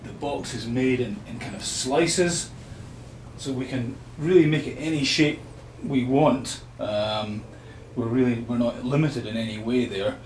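A man's voice: medium pitch, measured pace, darkish tone. Scottish man presenting in an echoey room. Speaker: loudspeaker company exhibitor. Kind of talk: informal product presentation. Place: small hotel exhibition room, indoors. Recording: roomy hotel room, light background noise.

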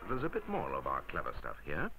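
A man's voice: a bass voice, huge pitch swings, brisk, music in the background, rough recording. A British man narrating a 1960s staff training film in a scripted, wry, emphatic instructional manner, on a vintage soundtrack.

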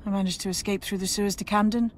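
A woman's voice: medium pitch, steady tone, fast, darkish tone, slight background noise, close to an everyday voice. A woman playing a battle-weary, determined resistance leader in a video game, earnest and grave. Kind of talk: video game character acting.

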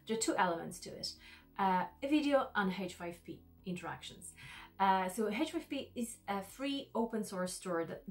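Measured woman explaining a tool to camera. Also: medium pitch. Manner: careful, measured, frequent "uh" fillers. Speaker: e-learning designer. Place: small decorated studio room, indoors. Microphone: camera framed at desk, mid shot.

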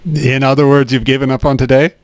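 A man's voice: medium pitch, little pitch movement, a little fast. A man hosting a let's play, translating and commenting on a game in a casual, wry way. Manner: casual, wry, dry humor. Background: game music.

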